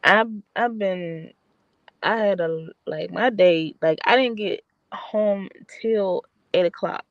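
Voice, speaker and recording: A woman's voice: medium pitch, slow, no room sound, lo-fi sound. A tired, slightly stuffy woman, rambling casually in a late-night chat. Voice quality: soft voice. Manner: soft-spoken, rambling with restarts.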